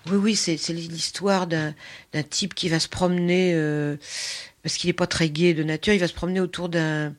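An older woman, telling personal stories as an interview guest in an expressive, emphatic way. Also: on the low side, moderate pace. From Paris, France. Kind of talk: conversational personal storytelling.

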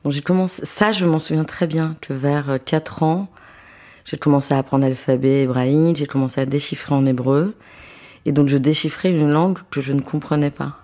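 A woman in her fifties, a translator and author, talking in a relaxed, thoughtful way with many small restarts, seated at home. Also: low-pitched, normal speed. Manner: hesitant, thoughtful, repeats words when starting. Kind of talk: relaxed conversational interview. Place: apartment living room, seated on a sofa.

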